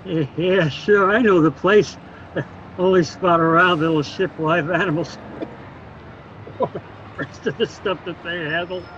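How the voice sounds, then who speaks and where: An actor playing a tipsy, rambling street drunk in an old-time radio drama, chuckling and muddled. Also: male voice, high voice, steady tone, slow, long pauses, a bit bright, lo-fi sound, a little hiss or hum. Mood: boozy, amused.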